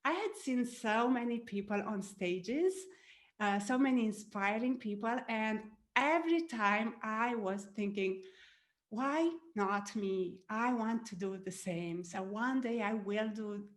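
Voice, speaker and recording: A woman's voice: a little high, takes it slowly. Romanian-accented woman telling her story. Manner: frequent "uh" and "um", laughs often. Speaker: podcast guest, business coach. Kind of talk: casual interview storytelling.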